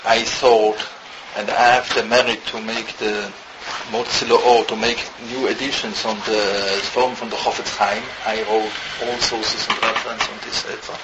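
Man from Switzerland lecturing in halting English. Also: fairly low pitch, level tone. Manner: halting non-native English, rapid Hebrew reading. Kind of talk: Torah lecture, reading Hebrew text then translating. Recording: muffled with loud background hiss.

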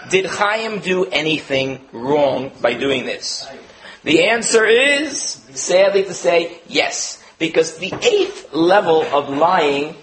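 A man giving a religious lecture, an animated storyteller who illustrates points with stories and quoted voices. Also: on the high side, slight background noise, rough recording. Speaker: rabbi teaching a lecture.

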